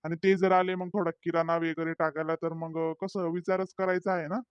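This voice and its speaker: Man voicing a teasing young cartoon husband, higher pitch. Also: level tone, normal speed, smooth, no echo, dull audio, lightly acted. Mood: wheedling. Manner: talkative, wheedling, hesitant fillers. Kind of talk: comedy cartoon voice acting.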